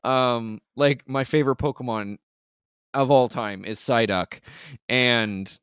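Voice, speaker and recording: Podcast host, man with a deep, resonant voice, loose rambling chatter, dry close sound. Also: takes it slowly, fairly bright tone, smooth, no room sound. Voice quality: deeper voice. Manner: laughs often, loose and rambling, swears casually. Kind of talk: casual interview chat.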